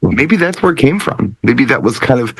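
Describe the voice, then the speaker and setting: Man, dry close sound, expressive pitch swings, casual explanation, deliberate pauses. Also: gravelly, breathy edge, no echo. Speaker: DJ talk show panelist.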